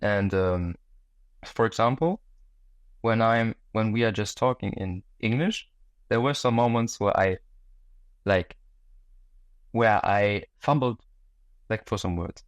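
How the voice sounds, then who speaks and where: Low-voiced man chatting, dry close sound. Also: slow pace, pauses often, no echo. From Germany. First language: German. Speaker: interview guest. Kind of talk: casual interview chat.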